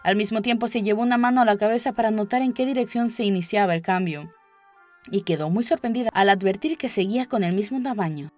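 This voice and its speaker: A woman's voice: medium pitch, fast talker, darkish tone. A woman reading a children's story aloud as scripted audiobook narration. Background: background music.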